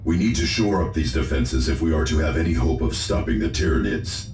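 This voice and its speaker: A solemn space marine advisor, extremely deep and ringing with echo. He reports formally and gravely, as in a video game cutscene, over music. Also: expressive, brisk. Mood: urgent, formal. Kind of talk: dramatic video game acting. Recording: echoing voice with music behind.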